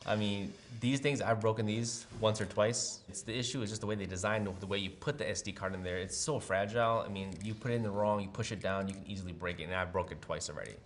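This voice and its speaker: Low-voiced man demonstrating a product, noisy background. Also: steady tone, fast talker, hardly any pauses. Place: room with brick wall, at a table.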